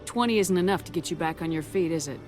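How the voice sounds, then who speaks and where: An actress voicing a female military commander who speaks calmly, directly and briefly. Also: lowish voice, quick pace, soft background music, lightly acted.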